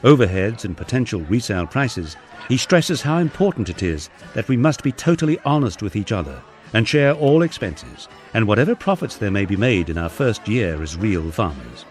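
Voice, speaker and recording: A man's voice: on the low side, big pitch swings, moderate pace, a little mellow. A man reading his own memoir aloud in a wry, storytelling manner. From England. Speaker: audiobook narrator. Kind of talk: first-person memoir narration.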